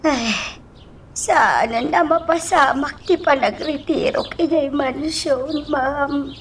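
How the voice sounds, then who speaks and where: Older servant woman played by an actress, Ilocano Filipino accent, high slow voice, meek, hesitant, radio drama, a bit distant. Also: a little hiss or hum. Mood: anxious, deferential. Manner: hesitant, deferential. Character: weary, humble older female mansion servant.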